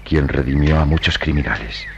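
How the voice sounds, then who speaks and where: An actor playing a calm, devout priest detective in a radio drama, speaking in a measured, solemn and sententious way. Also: male voice, a bass voice, gravelly, dull audio, a little hiss or hum. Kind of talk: old radio drama acting.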